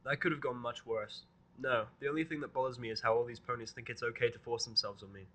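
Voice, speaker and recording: A man's voice: medium pitch, fairly even intonation, quick pace, fairly bright tone. A man narrating a fan fiction story in the first person, in a dry, irritable tone. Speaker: reader.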